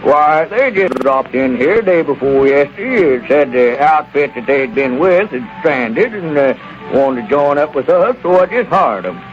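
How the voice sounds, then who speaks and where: An actor playing a folksy circus manager who talks with hesitant, stammering restarts in a 1930s radio comedy. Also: male voice, on the high side, expressive, measured pace, faint music behind. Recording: lo-fi old radio broadcast.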